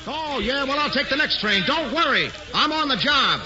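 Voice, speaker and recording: An actor playing a brash, wisecracking newspaper reporter in a 1940s radio play. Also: male voice, fairly high pitch, sing-song, fairly quick. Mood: excited. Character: brash fast-talking male newspaper reporter. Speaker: lead actor. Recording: dull 1940s radio broadcast.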